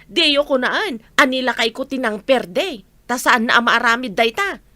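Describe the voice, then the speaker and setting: A woman's voice: high-pitched, expressive, normal speed, sharp tone, no room sound. A woman playing a scolding, evasive village wife with an Ilocano accent in a comedy radio drama. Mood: irritated.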